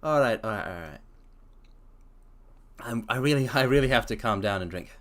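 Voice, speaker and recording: A man streaming a dramatic reading of a story, breaking off to chat excitedly, often stammering and restarting his sentences. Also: medium pitch, normal speed.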